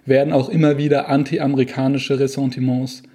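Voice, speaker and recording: Man, casual film chat, husky tone, enthusiastic, conversational. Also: medium pitch, flat delivery, brisk, dark tone. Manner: enthusiastic, conversational, loose phrasing.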